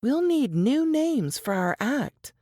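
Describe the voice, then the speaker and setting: A woman's voice: sits high, very animated, slow, mellow, no room sound, clean studio sound, voice pushed up, heavily acted. A woman playing an earnest, worried young Southern singer in a dramatized period novel, with folksy phrasing. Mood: anxious. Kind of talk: dramatized audiobook dialogue.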